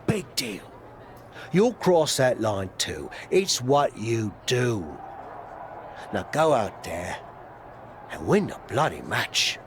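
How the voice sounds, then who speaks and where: Animated veteran wrestling mentor, male. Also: medium pitch, takes it slowly, long pauses, slight background noise. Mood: earnest, encouraging. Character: rambling, good-hearted veteran wrestler mentoring a younger man. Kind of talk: video game cutscene acting.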